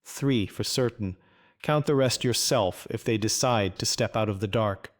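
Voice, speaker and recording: A man voicing a gruff, weary old farmer with a terse, blunt, dry manner in video game quest dialogue. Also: on the low side, a little slow, lightly acted. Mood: terse, instructing.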